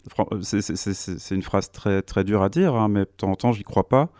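A man's voice: lowish voice, moderate pace, warm tone, dry recording, studio quality. A man, speaking as a youth worker on a panel, earnest and thoughtful, often restarting his sentences. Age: 30s. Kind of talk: radio panel discussion.

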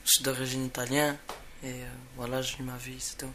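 A man's voice: mid-range voice, completely flat delivery, fairly quick, sharp tone, slight background noise. A teenage boy of about sixteen, a young guest speaking casually in short, hesitant phrases. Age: teenager, nearly sixteen. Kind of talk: casual self-introduction and discussion.

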